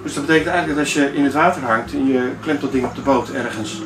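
A man in his fifties talks informally through a practical demonstration, often pausing to find his words. His voice is mid-pitched and recorded from a distance. The small space sounds roomy, with clear noise behind him. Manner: hesitant, searching for words. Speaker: sailing vlogger. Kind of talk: informal demonstration talk to camera. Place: inside a sailboat cabin at the navigation desk. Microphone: camera a few steps away.

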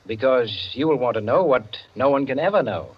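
An actor playing a measured, enigmatic gentleman storyteller in 1940s radio drama style. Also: medium pitch, animated, breathy edge. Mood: intriguing, measured. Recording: muffled old radio recording.

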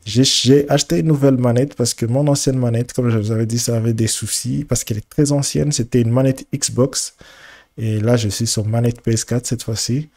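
A man with a head cold giving casual, halting commentary as he streams a football video game, often repeating his words as he thinks aloud. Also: medium pitch, steady tone, a little mellow. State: congested with a cold. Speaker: gaming streamer.

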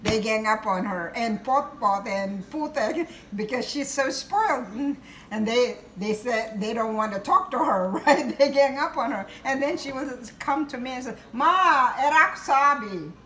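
A middle-aged woman with a Filipino accent reminisces in a high voice. She chats casually, like a mother telling old family stories. The room is echoey, with some background noise. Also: expressive, a little slow, fairly bright tone. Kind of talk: casual storytelling chat. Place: home kitchen, indoors. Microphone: handheld phone camera a short distance away.

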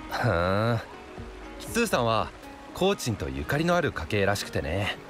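A man's voice: lowish voice, wildly swooping pitch, normal speed. A man voicing a composed, knowing male storyteller in a Japanese video game, talking casually. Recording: loud music behind the voice.

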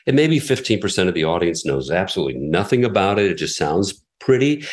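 A middle-aged man, a radio executive, answering interview questions in a relaxed, explanatory way, often repeating words as he thinks. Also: low-pitched. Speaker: radio station president, guest.

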